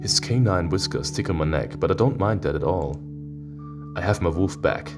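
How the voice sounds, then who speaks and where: Male reader as introspective furry visual novel lead, deep and earnest, tender delivery over clear music. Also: animated, normal speed. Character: introspective, tender anthropomorphic animal narrator of a romance visual novel.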